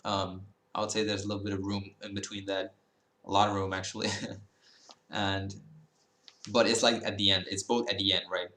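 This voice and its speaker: A man's voice: sits low, flat delivery, moderate pace, long pauses, pushed and bright, echoey room. A man in his early twenties, talking casually to camera in a rambling way, full of fillers and self-corrections. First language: Dutch. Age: 20s. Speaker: language-learning YouTuber.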